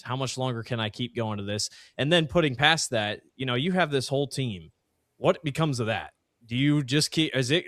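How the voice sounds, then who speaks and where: A male podcast host asks long, hesitant questions in a casual way. His voice sits at a middling pitch. The sound is dry and close. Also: fairly bright tone, dry recording.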